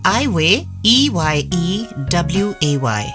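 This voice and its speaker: A woman's voice: fairly low pitch, sing-song, slow. A woman reading a scripted radio announcement. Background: music.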